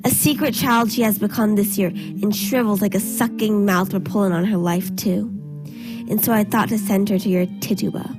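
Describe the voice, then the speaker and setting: A woman's voice: medium pitch, fairly even intonation, normal speed, music in the background, natural voice, low-quality recording. A woman acting a morbid, superstitious, grieving Puritan mother in a radio play, intense and ominous with archaic phrasing. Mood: grieving, insistent. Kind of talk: period stage drama acting, radio play.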